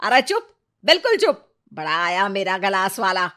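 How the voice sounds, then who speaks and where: A woman's voice: medium pitch, very animated, normal speed, pushed and bright. A woman playing a plaintive, nagging mother of a poor household in a cartoon family drama. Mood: scolding, spiteful. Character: worried, scheming mother of a poor household, turning spiteful grandmother. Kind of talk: Hindi cartoon moral-story dubbing.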